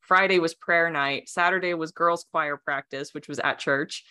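A woman's voice: sits low, fairly even intonation, normal speed, sharp tone, clean tone. A young adult woman whose first language was Romanian, a podcast guest talking casually, rambling with many fillers, restarts and frequent laughs. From United States. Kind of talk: casual interview chat.

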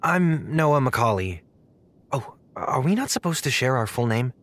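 A man's voice: medium pitch, lively intonation, unhurried, close to an everyday voice. Man acting a stammering, nervous young guy. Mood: nervous, awkward. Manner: stammering, hesitant, awkward. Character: naive, nervous young male zookeeper, bad liar. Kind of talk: comedic audio drama acting.

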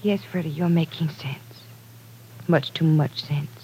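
Casting: tender young woman, lowish voice, soft and tactful, vintage radio drama, faintly noisy. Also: measured pace. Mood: uneasy. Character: gentle, tactful young woman being courted. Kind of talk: old-time American radio drama acting.

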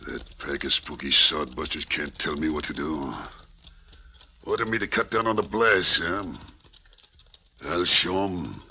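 An American actor playing a curt, domineering, ruthless mine boss in an old radio Western. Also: male voice, a bass voice, a little slow. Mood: scornful. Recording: muffled, noisy vintage radio recording.

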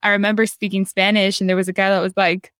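A woman's voice: medium pitch, level tone, quick pace, smooth, dry recording, studio quality. A woman from the United States hosting a podcast interview, talking in an enthusiastic, affirming way. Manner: warm, affirming, enthusiastic, calls the guest "girl". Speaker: podcast host. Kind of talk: casual interview chat.

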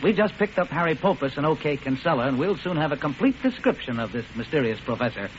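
An actor playing a dry, matter-of-fact, businesslike police inspector in an old radio drama. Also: male voice, fairly high pitch, sing-song, quick pace. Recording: muffled, hissy old radio recording.

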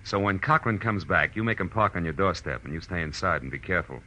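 Deep-voiced hard-boiled private eye. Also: male voice, lively intonation, quick pace, pushed and bright, full character voice. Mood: brisk, wry. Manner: terse, sardonic, dramatic. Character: tough, wry male private detective narrating his case. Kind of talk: 1940s radio drama acting, hard-boiled narration. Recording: muffled old radio recording with music.

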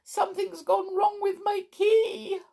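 A woman voicing a gentle male clockwork clown toy who whimpers and sobs in a high, quavering voice. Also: fairly even intonation, unhurried, gravelly, exaggerated voice. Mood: miserable.

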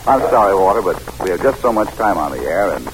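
Lively 1940s American radio announcer, noisy recording. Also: male voice, low voice, quick pace. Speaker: radio announcer and program host.

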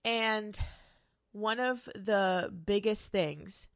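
Stuffy nasal young woman giving frank advice, chatty, swears a bit, mid pitched, dry close sound. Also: drawn-out words, dry recording. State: congested, nasal from a cold. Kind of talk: casual advice monologue.

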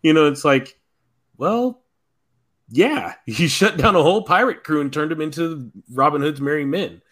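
A man's voice: on the high side, lively intonation, moderate pace, fairly bright tone. A man on a podcast panel, giving his opinions in casual review chat.

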